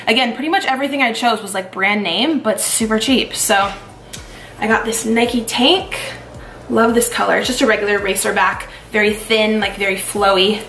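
A woman's voice: on the high side, a bit bright. A young adult woman chatting casually as a lifestyle vlogger, upbeat and full of "like" and "you guys". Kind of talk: casual try-on and workout vlog narration.

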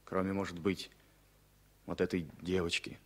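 An actor playing a shell-shocked former pilot, wry, grumbling and resigned, halting and ironic in a radio drama. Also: male voice, deep voice, level tone. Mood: bitter, resigned. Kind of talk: 1970 Soviet radio drama acting.

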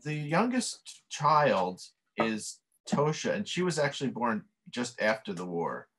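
A man's voice: mid-range voice, sing-song, unhurried, a bit bright, breathy edge. A middle-aged man, a film director, answering interview questions casually over a video call from a home office, with many fillers. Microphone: webcam video call.

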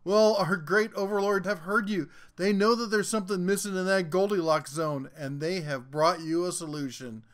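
Man, folksy tool channel host, upbeat and jokey, fairly high voice, casual voice-over. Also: moderate pace, few pauses, a bit bright. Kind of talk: casual product rundown.

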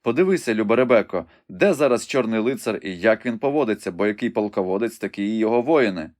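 A man's voice: lowish voice, lively intonation, quick pace, a little mellow, close to an everyday voice. A man voicing a wounded young knight, impatient and urgent, pressing questions from his bed. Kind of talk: audiobook reading.

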